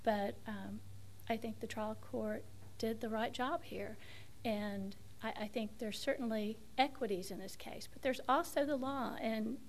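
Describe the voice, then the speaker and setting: Hoarse woman arguing before a court. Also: a little high, fairly even intonation, a little hiss or hum. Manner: measured, hesitant, with frequent restarts and fillers. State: losing her voice. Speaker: attorney arguing an appeal. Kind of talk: appellate oral argument.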